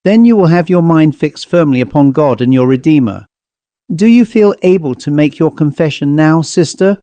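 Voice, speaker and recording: A calm, solemn vicar speaking gently at a bedside, as the narrator reads him. His voice is mellow and close, with a dry sound. He speaks with measured, grave composure. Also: on the high side, no room sound, voice pushed up, close to an everyday voice.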